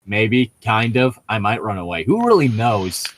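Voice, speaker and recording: A man chatting casually as a co-host, critiquing in an exasperated, rambling way with halting rephrasing. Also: on the low side, sing-song, slight background noise. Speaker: co-host reading and mocking a novel. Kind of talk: casual critical chat with read-aloud lines.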